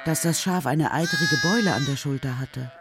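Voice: mid-range voice, normal speed, very noisy. A narrator telling a children's story in a scripted radio drama style.

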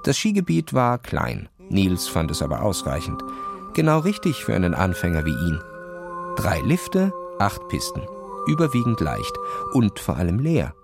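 A man's voice: sits low, huge pitch swings, moderate pace, creaky, over music. A man reading a scripted story for children as a narrator. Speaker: audiobook narrator.

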